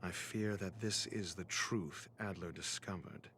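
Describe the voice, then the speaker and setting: An actor playing a composed detective in a video game cutscene, articulate and deliberate as he reasons out a mystery. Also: low-pitched, bright, forceful tone, a little hiss or hum, heavily acted. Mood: deductive, measured. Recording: music behind the voice. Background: background music.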